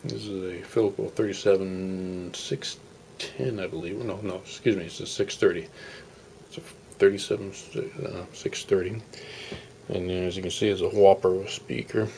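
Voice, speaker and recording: A man with a very low, gravelly voice talks through a hands-on project in a casual, unscripted way. He rambles and halts as he explains, in a folksy manner. Some faint noise sits behind his voice. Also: steady tone, moderate pace, darkish tone. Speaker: hobbyist radio restorer presenting his project.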